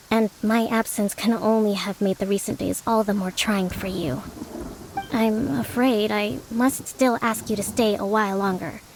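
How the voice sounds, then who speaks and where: A woman's voice: mid-range voice, a little hiss or hum, lightly acted, poor audio. A woman playing a composed young priestess who leads a resistance in a video game, speaking formally and politely. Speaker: game voice actor. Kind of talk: scripted game dialogue. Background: music.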